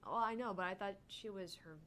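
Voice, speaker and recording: Woman, bright voice, casual game-reading chat, co-host, some background noise. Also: mid-range voice, a little fast. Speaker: co-host of a visual novel let's play. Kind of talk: casual chat while reading a visual novel aloud.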